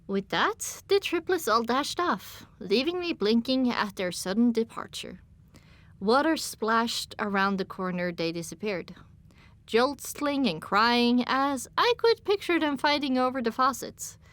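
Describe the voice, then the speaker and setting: Female audiobook narrator, higher register, scripted first-person storytelling, close dry recording. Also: lively intonation, unhurried, a bit bright, dry recording.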